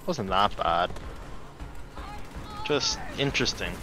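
A man's voice: lowish voice, animated, slow pace. A man in casual gaming chat who speaks in terse, short remarks. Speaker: gamer in a streamed co-op session. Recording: voice chat under loud game noise. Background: loud game sound effects.

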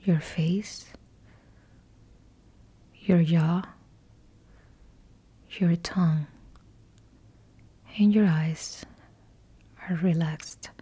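Slow whispering woman guiding meditation. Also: lowish voice, fairly even intonation, warm tone, breathy edge. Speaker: yoga nidra guide. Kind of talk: guided meditation.

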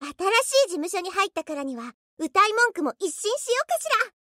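A proud, cheerful young idol from an anime game. The voice is extremely high and girlish, swinging animatedly as she boasts with theatrical, perky confidence. The sound is dry and close. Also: very animated, fairly quick, pushed and bright, dry recording, full character voice. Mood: perky, confident. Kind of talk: anime game character voice lines.

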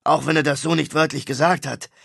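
Guy voicing a loud, vain rocker, swearing and huffy, kinda raspy. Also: a little high, a little slow, no echo, clean studio sound, full character voice. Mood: indignant. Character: angry vain male rock star, brash and touchy. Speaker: audiobook character.

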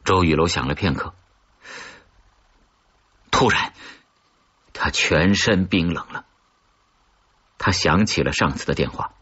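A man reads horror fiction in a deep, dark-toned storyteller's voice. His delivery is brooding and dramatic, with a husky edge. The recording is dull and lo-fi. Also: slow, long pauses. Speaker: audiobook narrator of horror fiction. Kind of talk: scripted suspense narration. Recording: dull, muffled lo-fi audio.

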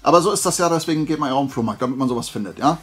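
A man's voice: medium pitch, animated, racing, a bit bright, faint music behind. A man chatting casually and colloquially as he hosts a show-and-tell haul video, with an enthusiastic collector's manner. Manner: conversational, colloquial, enthusiastic collector's chat with self-posed questions. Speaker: host of a flea market haul video.

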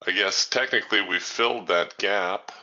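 A man hosting a trading training room, thinking aloud in a relaxed way as he walks through live trades. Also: deep voice, normal speed, highs cut off. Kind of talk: live trading commentary over screen share.

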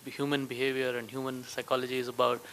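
A man asking a question from the audience, halting and searching for words. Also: medium pitch, little pitch movement, fairly bright tone. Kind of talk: spontaneous question from the floor. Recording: hissy, noisy conference hall audio.

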